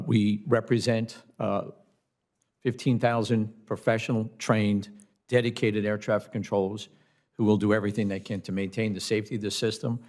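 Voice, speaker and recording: An American man giving formal testimony as a union representative, choosing his words deliberately. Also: on the low side, darkish tone. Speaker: air traffic controllers' representative testifying.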